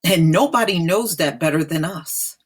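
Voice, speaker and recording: A woman's voice: on the low side, animated, normal speed, husky. An American woman giving informal opinion commentary in a blunt, disapproving, emphatic manner, repeating phrases for effect. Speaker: online commentator.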